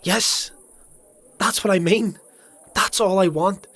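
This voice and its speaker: An actor playing a distraught, guilt-ridden young male zealot in a dark fantasy audio drama, stammering and impassioned. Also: sits high, takes it slowly, no room sound, full character voice. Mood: desperate fervour.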